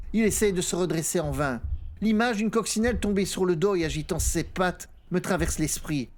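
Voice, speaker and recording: A man narrating an audiobook, telling a first-person horror story in a dramatic style. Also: a little high.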